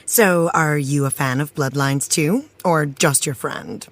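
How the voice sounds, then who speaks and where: An actress playing a relaxed, teasing TV star, speaking casually in a naturalistic audio drama. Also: female voice, sits low, measured pace, no room sound. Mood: friendly, teasing.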